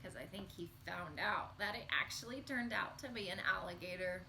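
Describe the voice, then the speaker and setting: A woman reading a picture book aloud to young children in an expressive, sing-song storytelling manner. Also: fairly low pitch, normal speed, vocal fry, a little hiss or hum, rough recording.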